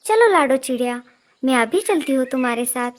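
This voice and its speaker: A selfless female nightingale from a children's cartoon, played by a woman. The voice sits high, with an earnest, brisk, practical manner of a neighbour ready to help. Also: animated. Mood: earnest, willing. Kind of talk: Hindi children's cartoon story voice acting.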